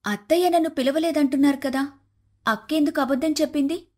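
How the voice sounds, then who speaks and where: A woman narrator puts on a spiteful, vain younger sister in a cartoon family tale. She speaks high and sounds indignant and quarrelsome. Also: a little fast, warm tone, lightly acted. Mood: puzzled, put out.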